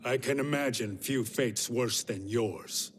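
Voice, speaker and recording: A man's voice: medium pitch, takes it slowly, noisy background, close to an everyday voice. An actor playing a proud, righteous, hot-tempered warrior shaman in a video game, with a solemn, intense and declamatory delivery. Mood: defiant, grim. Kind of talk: video game cutscene acting. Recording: voice under loud game music.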